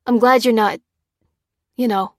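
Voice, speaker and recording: Woman voicing an excited male army major, emphatic. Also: fairly high pitch, unhurried, no room sound, clean studio sound. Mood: excited, hurried.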